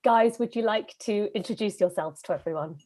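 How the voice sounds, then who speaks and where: A woman's voice: mid-range voice, brisk, darkish tone. A woman from Britain hosts an online discussion show. She introduces it in an articulate, presenterly way, with a middle-range voice. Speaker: host.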